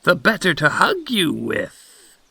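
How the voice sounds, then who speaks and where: A man voicing a hungry wolf posing as a doting old grandmother in a storybook, drawn-out, cloying and menacing. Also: high-pitched, wildly swooping pitch, creaky, bright, forceful tone, slightly rough, no room sound, pitched above the performer's own voice, exaggerated voice. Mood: menacingly sweet. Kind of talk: storybook character voice.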